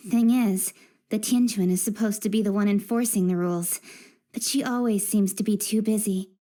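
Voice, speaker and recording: A woman playing a confident, outspoken young female official in a fantasy game, with a measured, opinionated delivery. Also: mid-range voice, fairly even intonation, mellow, close to an everyday voice. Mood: wry, critical. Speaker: voice actor in English game dub. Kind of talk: scripted game character voice lines.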